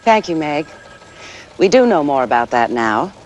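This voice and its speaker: A woman's voice: low voice, big pitch swings, moderate pace, poor audio. An actress playing a composed female network news anchor with a formal, orderly delivery in a TV bulletin. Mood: composed, serious. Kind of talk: 1980s TV news bulletin acting. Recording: faint noise, 1980s TV broadcast.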